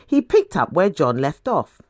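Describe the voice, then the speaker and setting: A woman reading scripture as a dramatic storyteller, deliberate, with emphatic pauses. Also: sits low, wildly swooping pitch, a little mellow, no room sound, clean studio sound. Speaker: scripture reader. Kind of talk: expressive scripted Bible narration.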